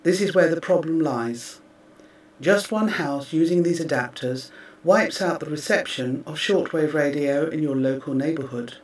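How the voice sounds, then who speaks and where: A man reading scripted narration in a measured, formal way, as if delivering a press release. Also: on the high side. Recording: roomy, distant microphone.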